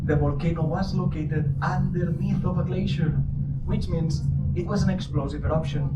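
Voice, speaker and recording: A man with a foreign accent guiding a live show, teasing and joking with his audience. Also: on the high side. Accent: non-native English accent. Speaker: lava show guide. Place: indoor presentation hall. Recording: distant, roomy, dull, noisy.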